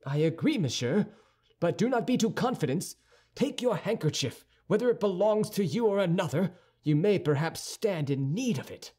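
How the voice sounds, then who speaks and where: Male narrator as impetuous young duellist for storybook; high, animated, slightly husky, hot-tempered. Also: normal speed. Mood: defiant. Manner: impetuous, indignant, challenging. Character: hot-tempered, proud young Gascon swordsman, quick to challenge. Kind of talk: audiobook character dialogue.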